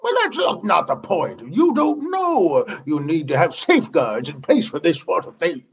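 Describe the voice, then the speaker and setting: An actor playing a brusque, demanding, paranoid commodore in a comedy radio play, imperious and scolding. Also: male voice, fairly high pitch, very animated, moderate pace, husky, lo-fi sound, heavily acted. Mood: testy.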